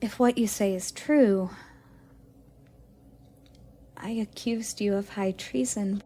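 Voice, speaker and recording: A woman playing a curious, playful young goddess in an audio roleplay, sweet and expressive with a slightly haughty streak. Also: mid-range voice, slow pace. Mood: earnest. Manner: inquisitive, expressive, cooing at a pet. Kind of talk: romantic audio roleplay addressed to the listener.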